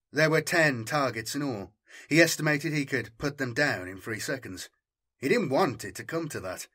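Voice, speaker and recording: A man reading a military science fiction story as dramatic scripted narration, in a measured, formal storytelling manner. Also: mid-range voice, fairly bright tone. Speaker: audiobook narrator.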